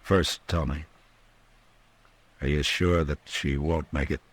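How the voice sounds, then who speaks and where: An actor playing a stern, weary US marshal in an old-time radio western, terse and grave. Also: male voice, extremely low pitch, fairly even intonation, takes it slowly, husky, full character voice. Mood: grave, pressing. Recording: old radio drama with music.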